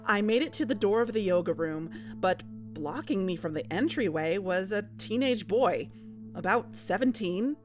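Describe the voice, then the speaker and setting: A woman's voice: mid-range voice, animated, moderate pace, over music, lightly acted. An actress playing an uneasy, watchful woman investigator who tells her story in a scripted audio drama. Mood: tense recounting. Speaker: narrator and lead character of an audio drama. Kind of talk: scripted first-person narration and dialogue.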